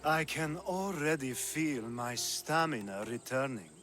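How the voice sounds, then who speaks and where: An actor playing an indulgent, languid fighter in a video game. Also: male voice, medium pitch, expressive, unhurried, a bit bright, faint music behind.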